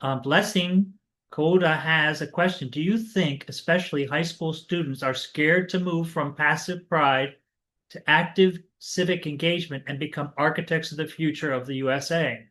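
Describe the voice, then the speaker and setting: A man with a slightly raised pitch moderates an online panel over a video call. He reads the audience's questions aloud courteously, with occasional fillers. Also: moderate pace, bright, forceful tone.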